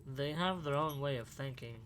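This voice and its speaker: A man playing a principled, thoughtful young wizard student in a fan audio drama, speaking in a calm, measured way. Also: mid-range voice, slight background noise, natural voice, rough recording. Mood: earnest.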